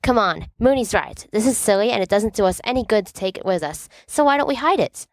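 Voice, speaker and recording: A woman playing a dramatic, mischievous teenage boy in a storybook reading, with an excitable, playful delivery. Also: medium pitch, brisk, dry recording, voice pushed up, natural voice. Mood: eager, playful.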